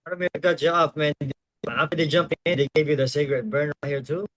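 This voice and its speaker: A man in his thirties hosting a casual interview, relaxed and often repeating his words. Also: a little high, quick pace. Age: 30s. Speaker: host.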